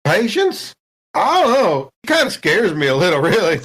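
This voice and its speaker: A man's voice: high voice, huge pitch swings, moderate pace, a bit bright, breathy edge, full character voice. A man voicing a folksy, overconfident spaceship crewman who rambles along with homespun sayings in a tabletop game. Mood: uneasy, grumbling. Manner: drawling, rustic, rambling small talk with homespun sayings. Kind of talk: improvised in-character dialogue in a tabletop game.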